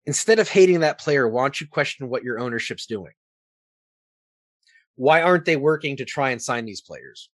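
An American man talks sports as a podcast co-host in a slightly low voice. He is opinionated and argues his points through rhetorical questions. The sound is dry and close, with little room on it. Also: lively intonation, normal speed, dry recording. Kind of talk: casual sports talk.

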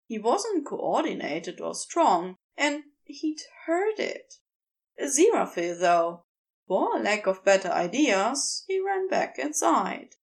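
A woman narrates a piece of fiction in a fairly high, highly expressive voice. Her delivery is unhurried, with a clearly creaky edge as she reads. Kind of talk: scripted story narration.

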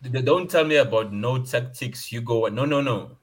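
A man's voice: medium pitch, moderate pace, husky. A man with a South African accent in casual football chat, frank and emphatic, repeating his points.

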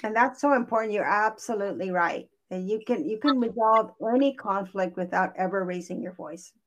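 A woman's voice: mid-range voice, fairly quick. A woman from Hawaii, a guest life coach in an interview, speaking earnestly and reflectively. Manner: earnest, reflective, many fillers and restarts. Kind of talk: interview conversation.

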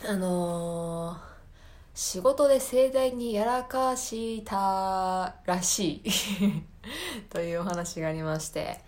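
A woman's voice: medium pitch, a little mellow. A woman hosting a podcast, talking casually about her own life in a hesitant, meandering, self-deprecating way. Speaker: podcast host.

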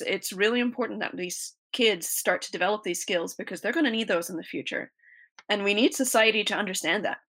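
A woman's voice: mid-range voice, fairly bright tone. A woman teacher from South Africa, a guest answering interview questions earnestly with a lot of "you know". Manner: earnest, explanatory, many "you know" fillers.

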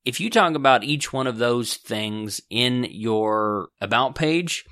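A man hosting a business podcast, explaining website setup in a casual, conversational way with folksy asides and quick self-corrections. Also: mid-range voice, expressive, bright, forceful tone, no room sound, studio quality. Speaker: podcast host and business author. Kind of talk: casual instructional podcast talk.

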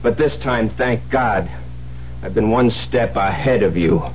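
An actor playing a resolute, commanding husband confronting a killer in a 1970s radio drama, speaking firmly and dramatically. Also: male voice, fairly low pitch, unhurried, a little mellow, close to an everyday voice. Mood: grim relief. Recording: muffled old radio broadcast, faint hum.

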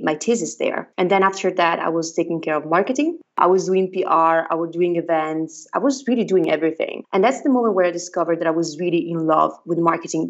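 A young woman from northern Italy with an Italian accent, chatting as a guest about her career, telling her story in a lively, self-deprecating way. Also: on the low side, lo-fi sound. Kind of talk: conversational interview.

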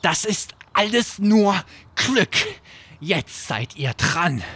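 A man performs a young schoolyard bully in a children's bedtime story. The voice sits high and swings about with a breathy rasp. He taunts and grumbles like a sore loser, dragging out his words. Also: sing-song, slow pace, bright, forceful tone, heavily acted. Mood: sulky, cursing.

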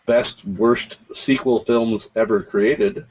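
A man's voice: fairly low pitch, fairly even intonation, slow pace, darkish tone, slightly rough, highs cut off. A man talking casually about films as one of a podcast panel. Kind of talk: casual film discussion.

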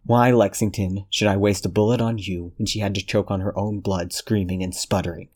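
A man voicing a cold, controlled detective who speaks in a measured, menacing way. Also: lowish voice, steady tone, a little mellow, lightly acted.

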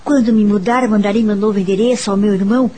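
A woman's voice: medium pitch, steady tone, fast, mellow, slightly rough, close to an everyday voice. An actress with a Brazilian accent playing a polite, timid young woman teacher who narrates her own story in a radio soap opera. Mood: reflective narration.

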